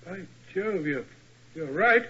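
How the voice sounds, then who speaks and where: An actor playing a bluff, blustering doctor in a 1940s radio drama, full of exclamations. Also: male voice, high-pitched, expressive, takes it slowly, bright, forceful tone, full character voice. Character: Doctor Watson, bluff, blustering English companion. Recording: dull old radio recording.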